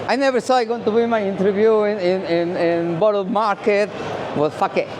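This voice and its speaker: A man's voice: high voice, fairly even intonation, moderate pace. A man with a Mexican accent, a native Spanish speaker, talking casually and emphatically, repeating himself, in a busy market. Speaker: interviewee. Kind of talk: casual, unscripted talk. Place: busy London food market. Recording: loud market crowd and noise.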